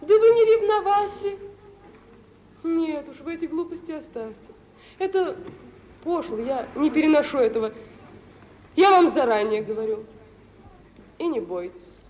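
An actress playing a proud, high-strung young woman in love, theatrical and emotional, in a 1950s Soviet radio drama. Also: animated. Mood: indignant, firm. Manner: theatrical, emotional, drawn-out delivery. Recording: dull, hissy old radio drama recording.

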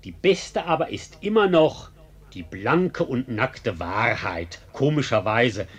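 Cool, mock-polite arsonist, raspy voice, lively ironic intonation, radio drama. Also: male voice, medium pitch. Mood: sly. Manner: mock-courteous, teasing, unhurried irony. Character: sly, insolently calm male arsonist and ex-convict. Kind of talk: German radio play acting.

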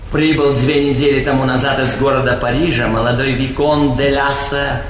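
A man's voice: medium pitch, fairly even intonation, moderate pace, natural voice. A man playing a sly, servile, gossiping retainer with a fawning, ornate manner in a Russian stage comedy. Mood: confiding. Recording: echoey theatre hall, faint noise.

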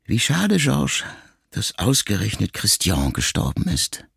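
A man's voice: fairly low pitch, wildly swooping pitch, measured pace, a bit bright, dry recording, studio quality, voice pushed up, full character voice. Man putting on a frail old mother. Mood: wistful. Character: frail, strong-willed ninety-year-old mother. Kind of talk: audiobook character voice. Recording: music clearly under the voice.

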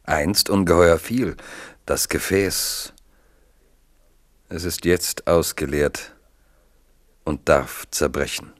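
Deep, slow male narrator. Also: no echo, studio quality. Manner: grave, measured storytelling. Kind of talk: scripted radio drama narration.

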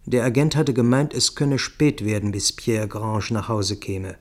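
A man's voice: on the low side, measured pace. A man reading scripted, literary first-person narration for a radio play.

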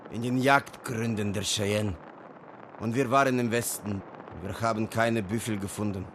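An actor playing a solemn, defeated warrior, speaking in measured phrases in a German western radio drama. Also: male voice, on the low side, steady tone, a little hiss or hum, lightly acted. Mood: solemn, resigned. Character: grave, defeated Native warrior returning from a scouting ride. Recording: loud music over the voice.

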